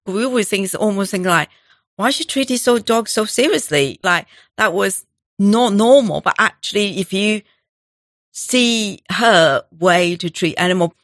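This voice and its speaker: A middle-aged woman from Taiwan, speaking as an interview guest and telling personal stories in halting, with restarts. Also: medium pitch, fairly bright tone, dry recording, clean studio sound. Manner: halting, non-native phrasing with restarts. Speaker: guest, animal welfare director. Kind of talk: conversational interview storytelling.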